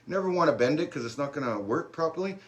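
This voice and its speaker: A man leading a warm-up and stretching class in a relaxed, encouraging, chatty way, adding small asides as he goes. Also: on the high side, fast talker. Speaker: fitness instructor. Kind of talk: guided workout instruction.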